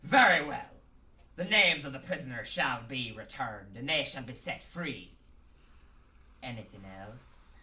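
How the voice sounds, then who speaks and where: An actor voicing a gleeful, sly pirate crime lord with a stage pirate brogue and theatrical archaic speech, in a radio drama. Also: male voice, medium pitch, lively intonation, unhurried, bright, forceful tone, distant mic. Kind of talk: amateur radio drama acting.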